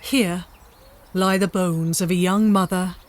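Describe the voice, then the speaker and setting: A woman giving measured, literary narration for a dramatised story. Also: on the low side, fairly even intonation, slight background noise.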